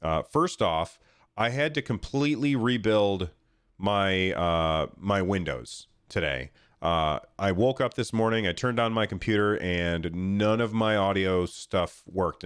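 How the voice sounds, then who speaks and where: A man's voice: low voice, lively intonation, a little slow, smooth, no room sound. A man hosting a podcast solo in casual, chatty talk, sounding nasal and congested with a cold, speaking directly to listeners on a close microphone. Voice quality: nasal, stuffed-up. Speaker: podcast host.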